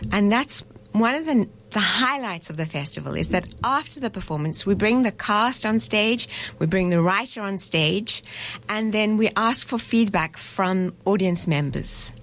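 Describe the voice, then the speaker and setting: A woman's voice: mid-range voice, fairly bright tone. A woman being interviewed in a radio studio as a festival director, explaining things step by step in a chatty way and laughing easily. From South Africa.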